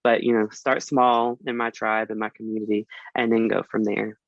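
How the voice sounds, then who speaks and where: Guest read: man in his twenties, from Alabama, easygoing and halting, mid pitch, dry and slightly dull recording. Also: vocal fry, no echo. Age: 20s. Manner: relaxed, halting, many fillers and restarts. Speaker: dental student guest. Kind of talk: casual interview chat.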